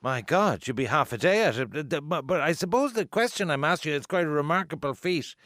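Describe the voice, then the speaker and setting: Higher-pitched Irish man interviewing on radio. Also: expressive, brisk, fairly bright tone, no echo, studio quality. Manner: probing, chatty, piles up questions.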